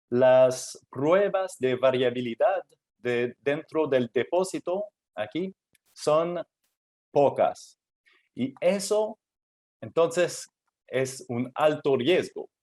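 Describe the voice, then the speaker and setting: Slow, halting non-native Spanish-speaking man. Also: on the high side, darkish tone. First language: not Spanish; Spanish is his third language. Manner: halting, many "eh" fillers and restarts, non-native phrasing. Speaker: presenter at a technical workshop. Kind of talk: technical presentation.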